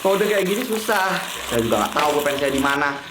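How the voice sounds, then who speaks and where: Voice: mid-range voice, sing-song, fast talker, roomy sound, background noise, natural voice. A performer playing an irritable, bossy male office boss, curt and sarcastic in scripted drama dialogue. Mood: irritable, scolding. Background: music and cooking sounds.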